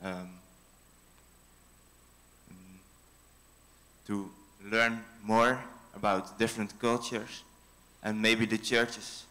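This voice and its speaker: A young man with a Dutch accent, giving a hesitant personal testimony with many pauses on a handheld mic in a church hall. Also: lowish voice, pushed and bright. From Netherlands. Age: young adult. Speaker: mission team member. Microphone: handheld microphone.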